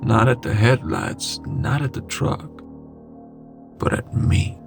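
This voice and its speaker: A man reading a scary first-person story in a dramatic, suspenseful way with deliberate pauses. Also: medium pitch, gravelly. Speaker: horror story narrator. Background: music.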